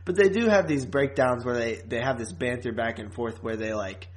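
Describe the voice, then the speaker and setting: A man's voice: medium pitch, moderate pace. A man co-hosting a podcast, recapping a TV series in casual, rambling, informal talk. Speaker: podcast co-host.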